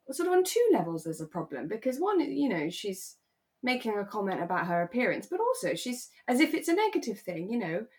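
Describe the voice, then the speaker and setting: A woman co-hosting a podcast, talking casually and giving her opinions gently, with hesitant restarts. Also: mid-range voice, big pitch swings, brisk. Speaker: podcast co-host. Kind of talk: casual chat reviewing a radio drama.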